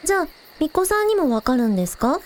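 A woman playing a cheerful, curious young village idol girl, casual and quick to exclaim, in a scripted audio drama. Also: high-pitched, big pitch swings, slight background noise. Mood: curious, excited.

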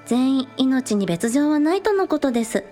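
A bubbly teenage girl idol acted by a woman speaks in a very high, cute voice. She is earnest and excitable, as in an audio drama, with music underneath. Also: moderate pace. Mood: earnest reporting. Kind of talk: Japanese audio drama acting.

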